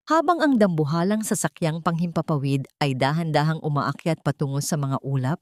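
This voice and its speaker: A woman narrating a scripted dramatic story in a solemn, sentimental and measured way. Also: sits low, darkish tone, no echo, studio quality.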